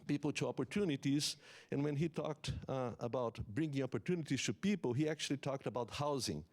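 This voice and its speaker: Man, English, conference keynote, explanatory, halting restarts. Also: mid-range voice. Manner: earnest, explanatory, with many fillers and restarts. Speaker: economist giving a summit talk.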